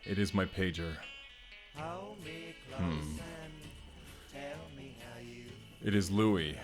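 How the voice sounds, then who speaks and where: A man acting big gentle barbarian, deep voice, earnest, halting formal speech, audio drama, music underneath. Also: very animated, exaggerated voice. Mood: awkward, apologetic. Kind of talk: first-person audio roleplay acting.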